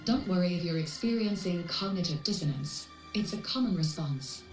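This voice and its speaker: A woman playing a composed, coolly corporate AI handler in a video game, speaking politely in measured, clinical, formal phrases. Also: fairly low pitch, lively intonation, a little mellow, close to an everyday voice. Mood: calm, advisory. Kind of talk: scripted video game cutscene dialogue. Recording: roomy processed echo, distant, music underneath.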